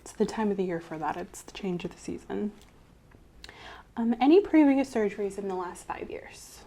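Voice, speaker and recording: A soft-spoken woman playing a doctor in an ASMR exam roleplay, methodically asking questions in a reassuring way that often trails off quietly. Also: medium pitch, lively intonation, normal speed. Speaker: ASMR creator playing a doctor taking a medical history. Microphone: clip-on mic.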